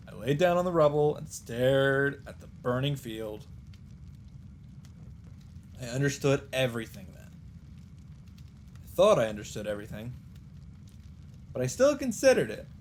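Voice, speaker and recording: A man's voice: mid-range voice, darkish tone, slight background noise. A man hosting a let's play, reading visual novel narration aloud and adding his own commentary. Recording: game music behind the voice.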